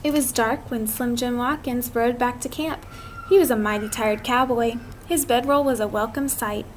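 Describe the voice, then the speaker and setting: A woman reading a picture book aloud to children, expressive and drawn out, with chatty asides to her listeners. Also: fairly high pitch, moderate pace. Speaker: read-aloud storyteller. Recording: clearly noisy amateur recording.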